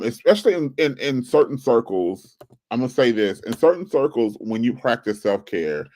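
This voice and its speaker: A man's voice: lowish voice, darkish tone. A man in his forties hosting his own channel, giving casual, earnest advice with a preachy, repetitive coaching tone. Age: 40s. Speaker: channel host. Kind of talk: casual livestream advice talk.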